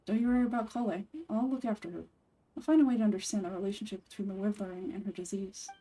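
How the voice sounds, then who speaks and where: A woman voicing a composed, sardonic young male forest ranger and scholar in a video game, speaking in a measured, matter-of-fact way. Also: medium pitch, steady tone, mellow, distant mic, natural voice, rough recording. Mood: dry, measured.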